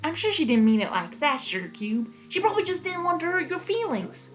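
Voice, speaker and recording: A woman's voice: extremely high pitch, lively intonation, fairly quick, soft background music, voice pushed up. A woman voicing a kindly, plain-spoken cowgirl pony with a folksy country drawl, in a storybook reading. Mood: consoling.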